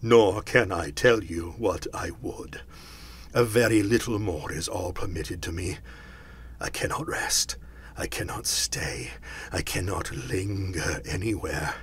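A man voicing a tormented, mournful ghost in a storybook reading, with slow, drawn-out declamation. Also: low voice, breathy edge, heavily acted. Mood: grave, weary. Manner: slow, drawn-out, declamatory delivery with wailing cries. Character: tormented male ghost, mournful and solemn warning spirit.

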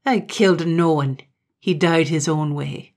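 A woman voicing a husky, menacing Highland murderer. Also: measured pace. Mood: defensive. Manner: menacing, pleading, forceful dialect speech. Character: obsessive murderous Highland man, brutal and possessive.

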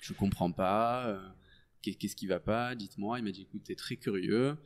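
A man in his thirties, a French doctor, explaining his work in a relaxed podcast chat full of hesitations. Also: fairly low pitch, normal speed, a crowd in the background. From France. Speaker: guest doctor. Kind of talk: casual podcast interview.

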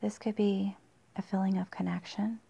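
A woman leading a guided meditation in a soft, breathy, husky near-whisper with long pauses, in a dull, muffled recording. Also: mid-range voice, little pitch movement.

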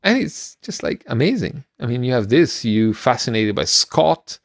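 A middle-aged man co-hosting a podcast, explaining things casually in a halting, rambling way. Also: medium pitch, big pitch swings, no room sound, studio quality. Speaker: podcast co-host. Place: small room at home, on a video call. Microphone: close microphone, headphones.

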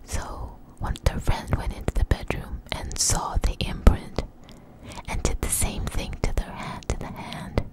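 A woman telling a story in a soft, breathy ASMR whisper, close to the mic, in a conversational, hesitant way. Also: a little slow, rough recording.

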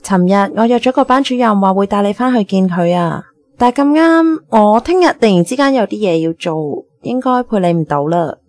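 An actress with a Hong Kong Cantonese accent playing a gentle, caring young best friend in a radio drama. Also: female voice, mid-range voice, lively intonation, a little fast, natural voice. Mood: gentle, reassuring.

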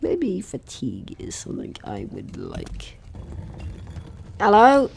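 A man's voice: high-pitched, wildly swooping pitch, takes it slowly, gravelly, darkish tone, slight background noise. A man giving casual let's play commentary, chatty and jokey, talking to himself and the game's enemies. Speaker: gaming channel host.

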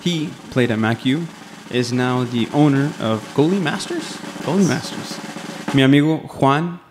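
A man's voice: mid-range voice, a little slow, roomy sound, background noise. A man hosting a podcast in casual interview chat, friendly and dropping in Spanish phrases. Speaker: podcast host. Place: office room used as a podcast studio. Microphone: studio mic on a stand, headphones.

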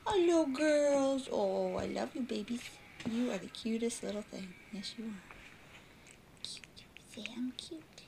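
A woman's voice: fairly high pitch, a little slow, gravelly. A woman cooing in baby talk to puppies, drifting between sing-song calls and whispers, filmed indoors on a handheld phone held close, with a noisy recording. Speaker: dog breeder. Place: indoors at a puppy bed.

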